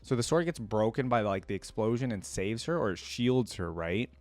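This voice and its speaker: A man with a fairly low voice hosts a reaction video. He reads story text aloud and breaks off for casual commentary. The sound is dry, with very little room to it. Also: animated, moderate pace, darkish tone, dry recording.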